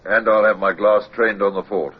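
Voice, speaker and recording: Grumbling, husky warship captain. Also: male voice, fairly low pitch, steady tone, moderate pace. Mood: resigned, authoritative. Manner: grumbling, authoritative. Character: weary, crusty older British warship captain. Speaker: actor in a radio drama. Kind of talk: radio drama acting. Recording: muffled old radio recording.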